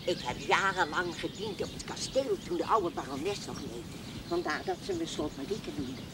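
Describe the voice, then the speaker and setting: An actress playing a chatty, plain-spoken old spinster smallholder in a television period drama, folksy and wry. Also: female voice, lowish voice, huge pitch swings, moderate pace. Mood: reminiscing. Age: older. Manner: folksy, blunt, wry, talkative. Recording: noisy old TV soundtrack with farmyard birds.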